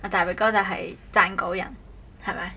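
A woman's voice: mid-range voice, flat delivery, fairly quick, sharp tone, slightly rough. A woman with a Cantonese accent hosting a casual chat podcast, bantering in a teasing, playful way. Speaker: host of a daily chat podcast.

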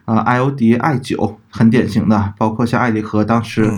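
A man co-hosting a podcast, explaining things methodically in casual talk, pausing and correcting himself as he goes. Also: on the low side, steady tone, breathy edge. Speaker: podcast co-host.

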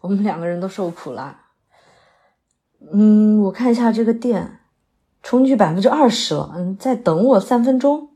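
一位女演员在广播剧里饰演刚下戏、略显疲惫的戏曲女演员，语气客气口语化，偶尔迟疑。. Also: female voice, mid-range voice, expressive, moderate pace, mellow, lightly acted. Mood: curious, tired. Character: polite, weary female opera performer after a late show. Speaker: stage actress in an audio drama. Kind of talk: naturalistic modern audio drama dialogue.

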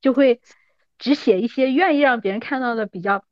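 A woman's voice: on the high side, fairly quick, no room sound, dull audio. A woman in her thirties talking as a podcast guest in a casual, reminiscing conversation. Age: 30s.

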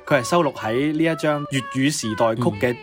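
A man's voice: medium pitch, fairly quick. A man co-hosting a radio programme in casual, conversational chat. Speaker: radio co-host. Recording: faint music behind the voice. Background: music.

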